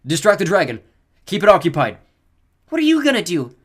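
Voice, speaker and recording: A man's voice: fairly high pitch, sing-song, normal speed, husky, voice pushed up. A man voicing an injured, earnest teenage boy who is a skilled builder and barks urgent commands.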